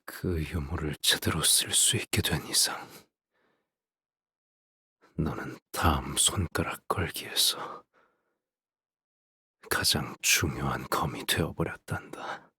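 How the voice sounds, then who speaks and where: An actor voicing a controlling, world-weary father and menacing swordsman in a video game, husky and deliberate, speaking with ominous softness. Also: a bass voice, no echo, clean studio sound, exaggerated voice. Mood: quiet, weary. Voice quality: husky, breathy. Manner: slow, deliberate, ominously soft-spoken. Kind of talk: dramatic game character voice lines.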